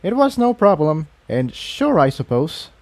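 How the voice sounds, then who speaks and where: A man's voice: a little high, big pitch swings, a little slow, a little mellow, no room sound, voice pushed up. A man voicing a reserved, introspective female refugee pony in a fantasy storybook reading. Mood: casual.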